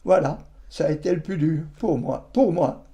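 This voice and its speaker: A man in his nineties reminiscing in an interview, halting and colloquial, often repeating his phrases. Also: on the high side, mellow. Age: 90s. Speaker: interviewee, war witness.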